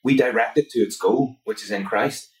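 A man's voice: fairly low pitch, normal speed. A man from Northern Ireland with a Northern Irish accent, a guest academic answering interview questions in an earnest, explanatory way. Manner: earnest, explanatory, builds points steadily. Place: conference venue. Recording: roomy, from far off mic.

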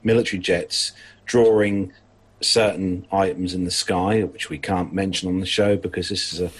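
A man hosting a podcast, introducing the next story in a casual, halting way. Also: low voice, level tone, slightly rough. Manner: hesitant, halting lead-ins. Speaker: podcast host. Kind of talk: casual chat.

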